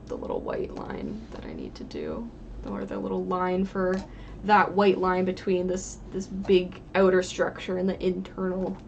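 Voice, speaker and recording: Woman with a creaky, crackly voice. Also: medium pitch, a little mellow, dull audio. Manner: informal, rambling, thinking aloud. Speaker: live streamer drawing digital art and chatting with viewers. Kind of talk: casual livestream chat.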